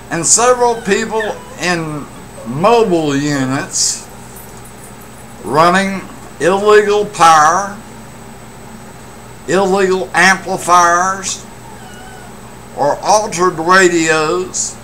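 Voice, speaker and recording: A man's voice: sits high, sharp tone. A middle-aged American man talking casually to a webcam in a room at home, emphatic and boastful like a proud hobbyist. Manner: emphatic, boastful, deliberate phrasing. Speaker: CB radio hobbyist vlogger. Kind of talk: casual monologue to camera. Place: small indoor room at home. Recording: noisy home webcam recording.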